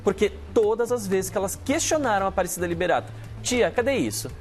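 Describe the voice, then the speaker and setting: Animated, quick Brazilian man in his thirties. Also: high voice, faint music behind. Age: 30s. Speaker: gossip show commentator. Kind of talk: informal, explanatory commentary.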